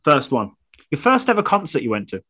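A man's voice: on the high side, huge pitch swings, fairly quick, a bit bright, breathy edge. A man hosting a show, casually putting questions to a guest. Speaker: show host, interviewer. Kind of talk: casual interviewing.

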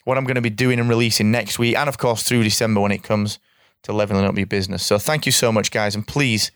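A man with a croaky voice, hosting a solo podcast in a straight-talking, energetic way and repeating phrases for emphasis. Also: lowish voice, fast talker, no echo, studio quality. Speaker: podcast host.

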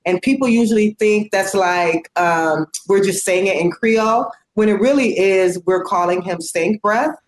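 A woman's voice: medium pitch, normal speed, hardly any pauses, echoey room, slight background noise. A woman speaking in casual conversation, earnest and outspoken, with lots of fillers. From United States.

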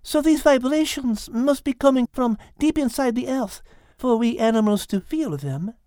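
A woman's voice: fairly high pitch, moderate pace, mellow, no room sound, clean studio sound, close to an everyday voice. A woman voicing a brainy French female animal in a children's audio drama, speaking precisely and formally with logical poise. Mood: thoughtful, logical. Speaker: co-host and character in an animal story podcast.

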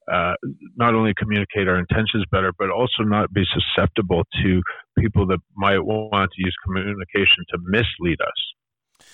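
A man hosting a casual interview, asking thoughtful, measured questions with many fillers. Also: lowish voice, no echo. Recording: tinny phone-like line.

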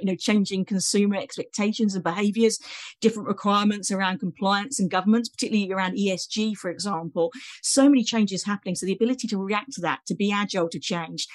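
A British woman conducts a tech interview at a rapid clip. She gushes with enthusiasm, leaning on fillers between her points. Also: mid-range voice. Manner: enthusiastic, effusive, many fillers.

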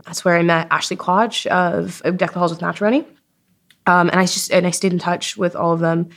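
A woman in her twenties with a slightly low voice is being interviewed. She talks in a loose, informal storytelling way, peppered with "like" and quoting herself as she goes. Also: fairly quick. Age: 20s. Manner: informal, animated self-quoting, frequent "like". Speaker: podcast creator being interviewed. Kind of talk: casual chatty interview storytelling. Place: recording studio at a festival.

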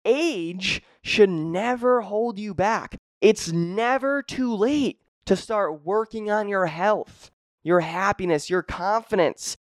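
Energetic young man coaching, dry sound. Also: high voice, no echo. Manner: energetic, emphatic, repeats key phrases. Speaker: podcast host and fitness coach. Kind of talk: motivational solo podcast talk.

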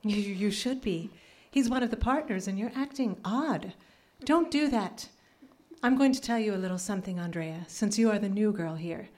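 Casting: actress for a wry, pragmatic office manager, dark relaxed timbre, deadpan delivery, live radio play. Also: female voice, medium pitch, normal speed, natural voice. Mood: stern warning. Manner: crisp, instructive, deadpan. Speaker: actor. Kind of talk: live radio drama acting.

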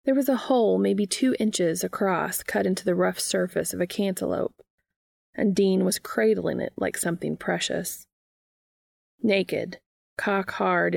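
A woman narrates a story in a lower-pitched voice with noticeable vocal fry. The recording is dry, with very little room sound, and she reads it like an audiobook. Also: a little mellow, dry recording. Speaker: fan fiction reader.

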